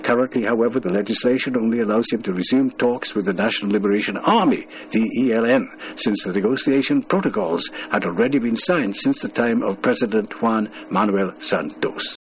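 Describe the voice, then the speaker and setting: A man's voice: lowish voice, a little fast, hardly any pauses. A man reading a scripted news report as a radio reporter. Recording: dull, noisy shortwave radio broadcast.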